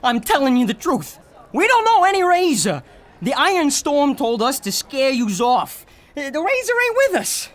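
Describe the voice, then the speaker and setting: A cowardly young American street thug in a radio serial. He whines and pleads in a high voice that swings wildly up and down. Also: huge pitch swings, moderate pace, a bit bright, exaggerated voice. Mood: whiny pleading. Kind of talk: 1940s radio serial acting.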